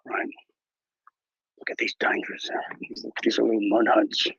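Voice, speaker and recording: A man playing a bumbling, boastful wilderness ranger who hosts a mock nature show, talking in a mock Australian accent with hushed, mock-serious narration and a husky voice. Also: on the low side, completely flat delivery, normal speed, dry recording, dull audio, exaggerated voice. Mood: hushed bravado. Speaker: mock wildlife show host. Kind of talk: improvised parody nature documentary.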